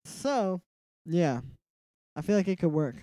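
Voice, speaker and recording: A young man with a nasal voice hosting a casual music production livestream from a small room at home. He talks in a relaxed, rambling way, full of "like" fillers, and mumbles asides to himself. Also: sits high, takes it slowly, very noisy.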